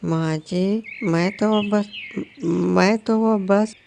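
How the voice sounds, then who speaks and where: A man's voice: high-pitched, steady tone, extremely slow, gravelly, a little mellow, slight background noise, pitched above the performer's own voice, full character voice. Man doing a timid young sparrow bride. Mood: pleading timid. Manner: pleading, stammering when nervous. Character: young timid daughter-in-law sparrow, female, pleading.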